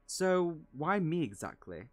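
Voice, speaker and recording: A man's voice: on the high side, very animated, slow, soft background music, pitched above the performer's own voice. Man voicing a curt, wary little craftsman. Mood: suspicious. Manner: clipped, curt replies. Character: gruff, terse, wary small hob craftsman.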